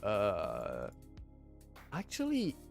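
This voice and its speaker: A man's voice: fairly low pitch, wildly swooping pitch, extremely slow, gravelly, soft background music. A young man with a French accent chatting casually on a livestream, hesitant and full of fillers and restarts. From southwest France. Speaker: streamer. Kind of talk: casual livestream chat, reading chat aloud.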